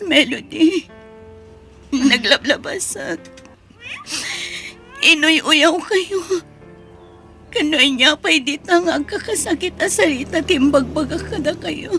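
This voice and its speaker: A woman's voice: sits high, lively intonation, slow pace, pauses often, bright, forceful tone, soft background music, heavily acted. A woman playing a remorseful, pleading young student daughter in an emotional audio drama. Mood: tearful, remorseful.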